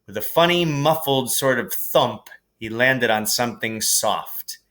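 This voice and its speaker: A man reading a storybook aloud as scripted narration, in an expressive, dramatic manner. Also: medium pitch, measured pace.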